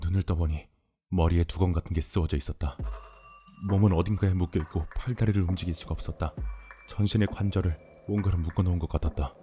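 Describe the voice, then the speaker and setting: A man's voice: low-pitched, steady tone, fast, dark tone. A man narrating a scripted first-person horror story for an audio drama. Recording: music behind the voice.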